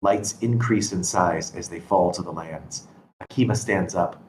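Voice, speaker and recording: A man's voice: low-pitched, measured pace, darkish tone, rough recording. A man reading scripted stage directions as narrator at a screenplay table read. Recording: constant background noise.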